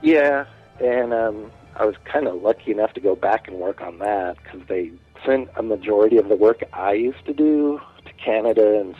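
A man's voice: fairly low pitch, slightly rough. A man in his late forties, a guest artist, chatting casually and rambling a bit over a phone line. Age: 40s. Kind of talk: casual interview chat.

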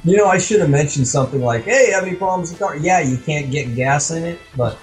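A man's voice: a little high, animated, quick pace, faint music behind. A man co-hosting a podcast, telling casual comic anecdotes in a wry, exasperated, mildly profane way. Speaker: podcast co-host.

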